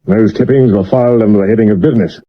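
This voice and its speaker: A man acting a sober Victorian London police inspector with a British accent and a measured, deferential way of explaining, in a 1970s radio drama. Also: fairly low pitch, natural voice. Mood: grave, explanatory. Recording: dull medium-wave radio recording.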